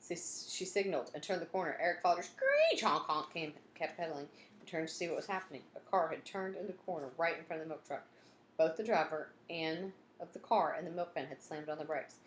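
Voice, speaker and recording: Lady with a lowish voice reading a kids book out loud, expressive, roomy echo. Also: fast talker. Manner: expressive, acts out dialogue and sound effects. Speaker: teacher reading a children's book aloud. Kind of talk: animated storybook read-aloud with asides. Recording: roomy echo, some background noise.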